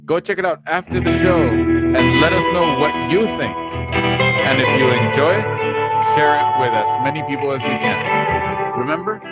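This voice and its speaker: A man co-hosting a casual show, giving product pitches and scripted sponsor reads. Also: animated, unhurried, loud music behind the voice. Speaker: co-host and sponsor reader.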